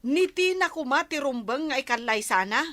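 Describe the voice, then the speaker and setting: A woman's voice: high-pitched, expressive, normal speed, fairly bright tone, no echo. A woman playing a nosy, disapproving older sister in a radio comedy drama, speaking in a gossipy way.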